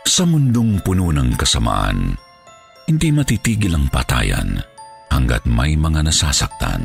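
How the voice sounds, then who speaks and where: A man's voice: extremely low pitch, gravelly. A man narrating a scripted horror story in a dramatic, solemn manner. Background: background music.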